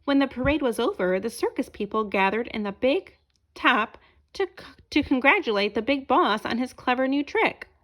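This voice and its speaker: Female storybook reader, high-pitched, highly varied intonation, expressive children's read-aloud narration. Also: normal speed. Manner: asks listeners questions as she reads.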